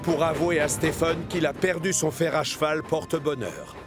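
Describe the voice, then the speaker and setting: Higher-voiced male storyteller over music. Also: moderate pace. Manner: expressive storytelling. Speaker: narrator. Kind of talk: scripted children's story narration.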